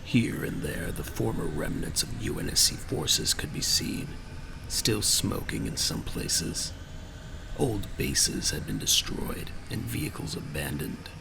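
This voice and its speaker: A man narrating a scripted audio drama in a dramatic way, with a gravelly voice. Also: rumbling low, big pitch swings, a little slow, background noise.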